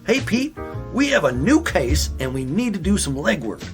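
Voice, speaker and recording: A man's voice: sits high, normal speed, soft background music. A man acting an earnest, folksy raccoon sheriff detective in a children's audio drama. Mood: curious, businesslike. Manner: animated, folksy, wordplay-loving.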